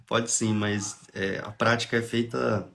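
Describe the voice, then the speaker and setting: A young man with a Brazilian accent and a cold, clearing his throat now and then, hosting a relaxed spiritist study livestream, reading aloud and explaining in a chatty way. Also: lowish voice, measured pace. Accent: Brazilian Portuguese. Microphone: phone or webcam on a livestream.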